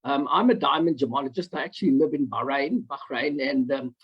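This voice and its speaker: A South African man giving a rambling, halting comment on a video call, full of fillers and restarts. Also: mid-range voice, husky. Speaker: audience member asking a question. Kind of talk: online Q&A comment. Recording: dull lo-fi video call audio.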